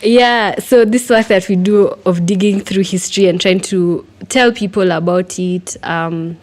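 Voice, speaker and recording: A woman's voice: medium pitch, steady tone, unhurried, darkish tone. A woman speaking candidly and reflectively as she shares personal thoughts with a group. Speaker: writer and researcher.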